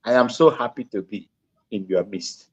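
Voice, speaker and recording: A man, an Igbo speaker, calling in and talking politely in a halting way. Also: lowish voice, a little mellow. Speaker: caller. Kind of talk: casual call-in talk.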